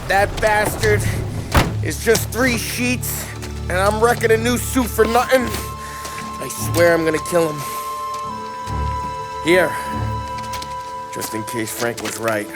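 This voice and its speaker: An actor playing a wisecracking, hot-headed 1930s American gangster in a video game, with brash, slangy, streetwise speech. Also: male voice, sits high, sing-song, slow, pauses often, over loud music, exaggerated voice. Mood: grumbling. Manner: brash, teasing. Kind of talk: video game cutscene acting.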